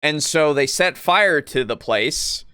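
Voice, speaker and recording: A man co-hosting a podcast, talking casually about tabletop roleplaying in a halting, rambling way with frequent swearing. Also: on the high side, animated, sharp tone, smooth, dry recording, clean studio sound. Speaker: podcast co-host.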